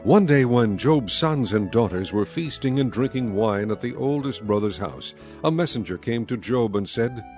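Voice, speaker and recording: A man reading scripted scripture narration as narrator of a dramatized audio Bible, in a measured, solemn manner. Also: mid-range voice, animated, darkish tone, faint music behind.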